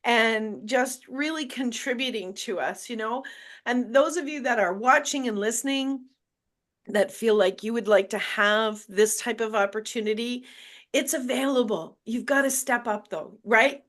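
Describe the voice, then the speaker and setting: A woman's voice: fairly high pitch, a little slow, fairly bright tone. Candid woman hosting a launch. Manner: emotional, candid, with hesitant fillers. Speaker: book launch host. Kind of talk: casual group chat.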